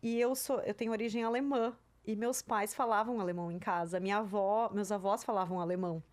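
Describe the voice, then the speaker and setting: A woman's voice: mid-range voice, steady tone, a little fast, darkish tone. A woman in her thirties, a native German speaker, chatting reflectively as an interview guest and often tagging her sentences with "né?". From southern Brazil. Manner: reflective, tags sentences with "né?", light laughs. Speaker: interview guest, career mentor. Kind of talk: casual interview chat.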